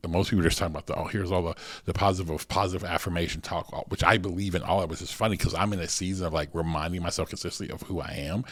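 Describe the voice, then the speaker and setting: Deep-voiced man in his forties. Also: steady tone, very fast, hardly any pauses, fairly bright tone, no room sound. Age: 40s. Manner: loose and rambling, many "like"s and restarts. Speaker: podcast co-host. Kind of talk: casual conversational chat.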